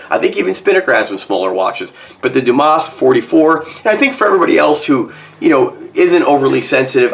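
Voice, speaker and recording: A North American man in his forties, slightly hoarse from a cold, giving a casual, opinionated product review in a conversational, emphatic way. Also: medium pitch, fairly bright tone. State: getting over a cold. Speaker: watch reviewer. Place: small home office, indoors.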